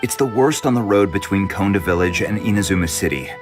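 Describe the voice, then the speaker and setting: A wry, genial middle-aged official in a video game. His lowish voice moves in lively swings and banters familiarly, with music playing behind. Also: fast talker. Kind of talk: scripted video game dialogue.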